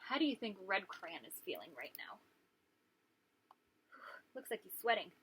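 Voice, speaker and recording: A woman's voice: medium pitch, big pitch swings, a little slow, fairly bright tone, slight background noise. A woman hosting story time as a preschool teacher, reading aloud and putting questions to young listeners.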